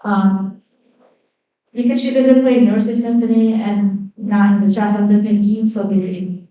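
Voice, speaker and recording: A woman's voice: mid-range voice, steady tone, warm tone, distant mic, highs cut off. Woman with a Chinese accent in an echoey hall. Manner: halting, with many fillers and self-corrections. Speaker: violinist introducing fellow musicians. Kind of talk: onstage introductions at a concert. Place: concert hall.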